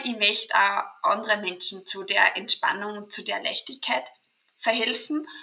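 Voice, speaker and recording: A woman's voice: on the high side, vocal fry, sharp tone. A woman with an Austrian accent, a mental trainer talking chattily as an interview guest. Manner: chatty, restarts, dialect words like "mei". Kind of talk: interview conversation.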